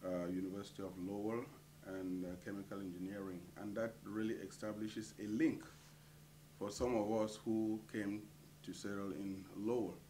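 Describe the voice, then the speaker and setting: Low-voiced man, halting with fillers. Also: level tone, dark tone, slight background noise. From Cameroon. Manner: hesitant, many filler pauses. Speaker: community association treasurer. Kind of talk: interview answers.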